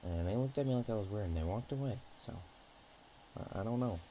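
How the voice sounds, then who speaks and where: Roleplay ASMR dragon, played by a man: naive, affectionate, nervous rambler; low-pitched, relaxed mellow delivery with halting phrasing. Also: a little hiss or hum, lightly acted, low-quality recording. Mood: bashful, rambling. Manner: hesitant, halting, softly rambling.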